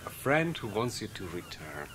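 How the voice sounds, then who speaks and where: An actor playing a gallant, idealistic South American adventurer with a Spanish accent and courtly, formal manners in a radio play. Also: male voice, on the low side, very animated, fairly bright tone, slight background noise. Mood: gallant, warm. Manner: courtly, formal, unhurried phrasing.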